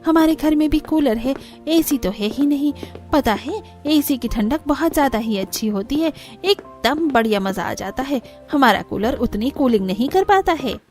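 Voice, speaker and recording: A woman's voice: sits high, expressive, normal speed, few pauses, a little mellow, faint music behind. A woman voicing a sympathetic young neighbour in a cartoon story, chatty and complaining. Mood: chatty griping. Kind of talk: cartoon story character dialogue.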